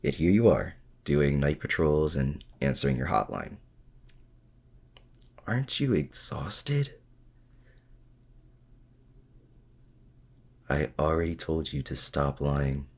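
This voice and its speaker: A man playing a feared but self-doubting supervillain, confiding casually and haltingly in a heroic rival in an intimate ASMR roleplay. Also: big pitch swings, full character voice. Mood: probing, concerned. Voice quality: very deep, gravelly. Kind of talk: intimate ASMR roleplay audio drama, addressing the listener.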